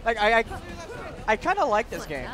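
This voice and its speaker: A man's voice: sits high, wildly swooping pitch, normal speed, crowd noise. A man co-hosting a livestream, chatting in an informal, conversational way. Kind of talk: casual chat on a livestream. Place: gaming event venue. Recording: music behind the voice. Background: music.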